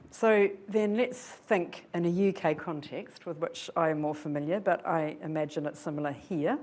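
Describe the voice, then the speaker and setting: A woman's voice: on the low side, a little mellow. An older woman from New Zealand giving an earnest, reflective public lecture, often posing rhetorical questions to her audience. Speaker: guest lecturer. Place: lecture hall.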